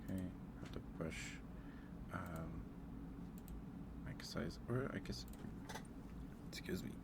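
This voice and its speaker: A man's voice: low-pitched, slow, gravelly, heavy background noise. A man hosting a casual livestream, thinking aloud in a hesitant way. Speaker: livestream host.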